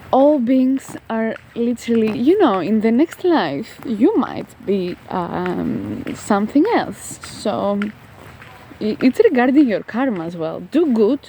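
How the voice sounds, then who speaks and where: A Greek woman with a Greek accent giving a casual motivational coaching talk while walking outdoors, halting and emphatic with drawn-out words. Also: a little high, mellow, a little hiss or hum, rough recording. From Greece. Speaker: spiritual life coach.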